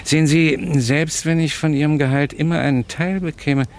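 An actor playing a cunning, smug blackmailer in a vintage German radio crime drama, with a gravelly, insistent, taunting delivery. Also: male voice, a little high, fairly even intonation, normal speed, lightly acted. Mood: greedy, demanding. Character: cunning, smug male blackmailer and hotel thief. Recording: noisy old radio drama recording.

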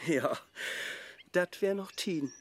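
An actor playing an indignant, self-righteous, bigoted male protester ranting in a rhymed radio play, with a Low German accent. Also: slow, slight background noise. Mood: self-righteous scorn. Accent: Low German, northern Germany. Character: indignant, xenophobic small-town grumbler, blustering male protester.

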